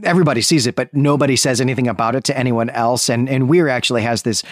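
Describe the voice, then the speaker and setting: A man's voice: mid-range voice, quick pace, dry recording, studio quality. A man co-hosting a podcast, casually discussing books, thinking aloud and repeating phrases for emphasis. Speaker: podcast co-host.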